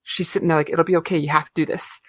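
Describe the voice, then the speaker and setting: A woman co-hosting a podcast in easy, chatty conversation, trailing off into fillers and restarting her sentences. Her voice sits a bit low for a woman. It is recorded close and dry, with no room sound. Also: fairly even intonation, rapid-fire, breathy edge, no echo. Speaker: podcast co-host. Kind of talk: casual chat.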